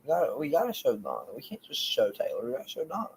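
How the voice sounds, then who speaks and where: A slightly hoarse man co-hosting a casual stream, joking in a halting way. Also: mid-range voice, wildly swooping pitch, rough recording. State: a little hoarse. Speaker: co-host. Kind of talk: casual chat. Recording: faint background noise.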